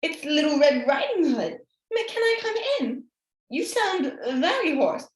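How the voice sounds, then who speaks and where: A woman's voice: high-pitched, big pitch swings, a little slow, pitched above the performer's own voice. A woman voicing a cheerful, polite young girl in a storybook reading, in an echoey room. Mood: curious.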